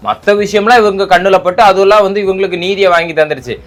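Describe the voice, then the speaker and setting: A man's voice: sits high, fast talker, a bit bright. A man from giving casual political commentary, arguing and mocking with rhetorical questions. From Tamil Nadu, India.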